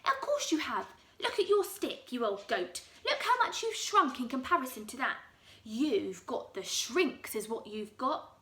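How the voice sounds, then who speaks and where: A woman's voice: high voice, very animated, a little slow, bright, forceful tone, heavily acted. A woman voicing a mean, spiteful old man who taunts and gloats, reading a storybook aloud. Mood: gloating. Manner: sneering, taunting. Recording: echoey room.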